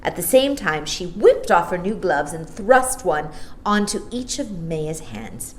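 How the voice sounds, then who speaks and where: A woman's voice: lowish voice, very animated, measured pace. A woman narrating a children's story expressively from a script as a storyteller.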